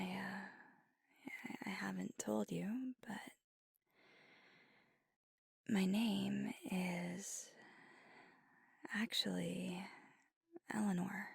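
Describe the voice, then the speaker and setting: A woman voicing a gravelly-whispered young vampire. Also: on the low side, no room sound, clean studio sound, full character voice. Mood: reminiscing. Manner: soft whispering, intimate. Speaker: ASMR roleplay performer. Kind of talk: ASMR roleplay. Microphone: close mic.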